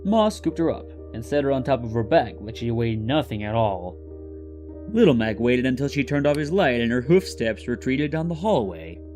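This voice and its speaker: A man's voice: medium pitch, mellow. A man narrating a scripted story as the host of a fiction reading.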